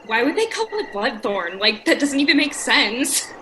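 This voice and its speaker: A woman's voice: sits high, sing-song, moderate pace, bright, forceful tone, full character voice. A woman playing a bossy, impatient young woman in an audio drama, curt and sarcastic. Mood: snarky. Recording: faint noise with night insects. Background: crickets and frogs.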